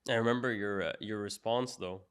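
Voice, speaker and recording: A man's voice: lowish voice, steady tone, brisk, a bit bright, smooth. A male podcast host chatting with his father, adding details to the story. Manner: adds to and corrects the story, fills in details. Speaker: podcast host, son of the guest. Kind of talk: casual conversation.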